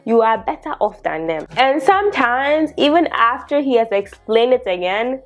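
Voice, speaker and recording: A young woman in her twenties with a Ghanaian accent, telling a story to camera in a casual vlog, animated and quick to laugh. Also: muffled recording, faint music behind. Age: 20s. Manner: animated and expressive, laughing often, exclaiming in sudden high bursts. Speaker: vlogger. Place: small bedroom studio indoors. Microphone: lapel mic.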